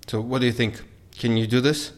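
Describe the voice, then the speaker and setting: Male actor as agent, mid-pitched, scheming, anxious, businesslike, audio fiction. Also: steady tone, brisk, natural voice. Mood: brisk.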